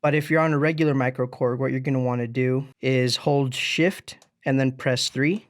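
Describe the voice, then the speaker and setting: A man's voice: medium pitch, steady tone, normal speed. A man presenting a music gear tutorial in an easygoing, informal step-by-step way.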